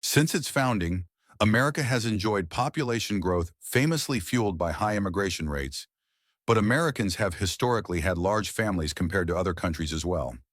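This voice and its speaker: A man reading a practice passage aloud in a steady, even way. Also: deep voice, a little fast, no room sound, clean studio sound. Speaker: model reader for exam practice.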